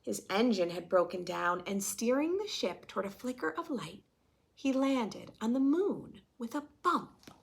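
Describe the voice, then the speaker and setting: A woman's voice: fairly high pitch, very animated, measured pace, vocal fry. A woman reading a storybook aloud to young children, expressively, with chatty, questioning asides to her listeners. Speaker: children's story reader and host.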